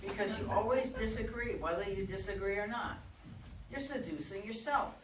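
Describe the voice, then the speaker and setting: A woman's voice: mid-range voice, moderate pace, close to an everyday voice. A woman playing a blunt, contrary dance partner in a staged play reading. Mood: argumentative. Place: echoey room at a live reading. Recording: roomy, distant mic, faint noise.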